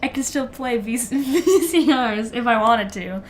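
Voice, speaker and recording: A woman's voice: fairly high pitch, measured pace. A young American woman co-hosting a podcast in casual, teasing banter peppered with swearing. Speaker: podcast co-host.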